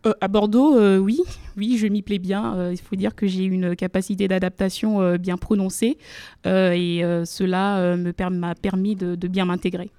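Young woman on studio radio, smooth mellow voice, lots of ums, formal wording, dry sound. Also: mid-range voice, little pitch movement, normal speed, dry recording. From Democratic Republic of the Congo. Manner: hesitant, many fillers, careful formal phrasing. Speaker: law student guest. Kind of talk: radio interview. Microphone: studio microphone.

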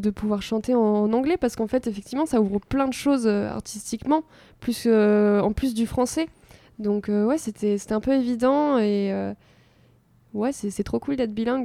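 A woman's voice: on the high side, vocal fry, warm tone, no room sound. A young woman in her twenties, a band singer chatting upbeat in an interview, laughing often. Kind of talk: casual interview chat.

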